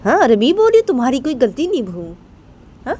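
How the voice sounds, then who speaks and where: A woman voicing a stern older mother-in-law in a story, hectoring and repeating herself with sharp questioning tags. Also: mid-range voice, fairly quick, a little hiss or hum, natural voice. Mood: angry, accusing.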